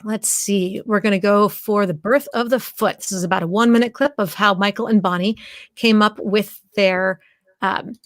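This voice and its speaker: A woman's voice: medium pitch, steady tone, moderate pace, no room sound. A woman hosting a live stream, talking casually and informally to viewers. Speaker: live show host. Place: indoors, live streaming video call.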